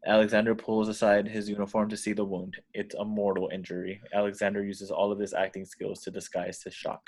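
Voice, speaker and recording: A man's voice: lowish voice, completely flat delivery, a little fast. A man reading screenplay action descriptions as scripted narration at a table read. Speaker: stage directions reader.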